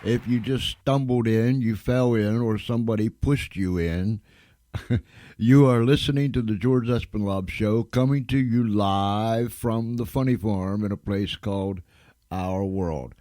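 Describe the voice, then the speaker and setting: A man's voice: lowish voice, a little slow, mellow, no room sound. A man hosting an informal comic radio show, with a folksy, joking, chuckling way of talking. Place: radio studio.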